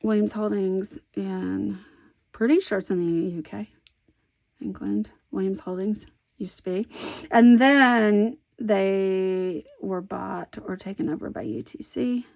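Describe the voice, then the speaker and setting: A woman with a toned-down Southern accent chats her way through a scrapbooking session, wandering between thoughts and teasing herself as she works. Her mid-range voice carries a noticeable gravelly fry at the ends of phrases. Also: medium pitch, takes it slowly, a little mellow. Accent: light Southern American. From American South. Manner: rambling, self-amused, talks to herself. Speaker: scrapbooking vlogger. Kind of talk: chatty crafting commentary while working.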